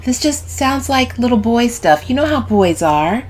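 A woman's voice: fairly high pitch, very animated, unhurried, pitched above the performer's own voice, rough recording. A woman narrator voicing an earnest, defensive, moralizing woman in an audiobook story, insistent and pleading. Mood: earnest, reproachful.

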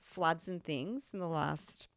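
An Australian woman co-hosting a casual podcast chat, a mother, easygoing and quick to laugh. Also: deep voice, gravelly, no echo. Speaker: podcast co-host.

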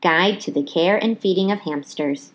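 A woman reading a children's book aloud as scripted, expressive narration. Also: on the low side, normal speed, rough recording. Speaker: storybook reader.

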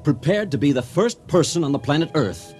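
Animated male radio-drama narrator over music. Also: a little high. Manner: dramatic, deliberate storytelling.

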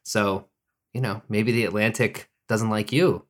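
A man's voice: on the low side, steady tone, unhurried. A man from Oklahoma co-hosting a podcast, chatting in an easygoing, agreeable and thoughtful way. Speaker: podcast co-host. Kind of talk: casual conversation.

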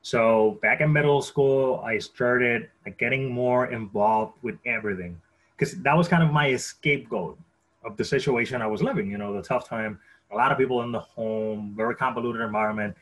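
Podcast guest: Puerto Rican-accented man, 30s, middle-pitched, conversational personal storyteller who repeats words. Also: moderate pace, fairly bright tone, rough recording. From Puerto Rico.

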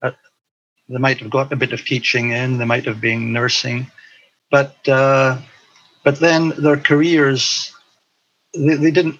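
An older man reflecting on his past in an interview, speaking in a hesitant, halting way. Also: mid-range voice, fairly bright tone, breathy edge, highs cut off. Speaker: interview guest, former teacher abroad. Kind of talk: recollection in an interview.

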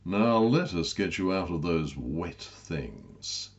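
A smug, lecherous duke out of a comic storybook, performed by a male narrator. His voice is low and oily, with pompous phrasing that takes its time. The audio is a little dull. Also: sing-song, full character voice. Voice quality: deep. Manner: pompous, drawn-out.

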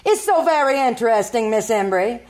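A woman's voice: a little high, lively intonation, brisk, a little mellow, close to an everyday voice. A woman acting a haughty society bride-to-be with an arch, imperious manner, in 1940s radio comedy style. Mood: arch, probing. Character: haughty, frank society bride-to-be, quick to bristle.

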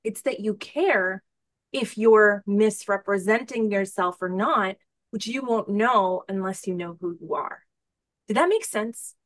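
A woman hosting a podcast in casual conversation, effusive and informal, thinking out loud as she talks. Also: mid-range voice, unhurried, no echo. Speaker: podcast host interviewing a guest.

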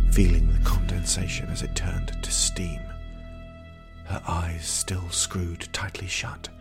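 Deep, gravelly, hushed male narrator. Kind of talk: scripted gothic horror narration.

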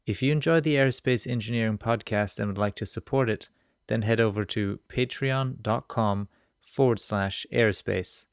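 A man's voice: fairly low pitch, normal speed, clear, resonant tone, dry recording, studio quality. A man hosting a podcast, presenting and interviewing. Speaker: podcast host. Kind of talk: scripted podcast introduction and interview.

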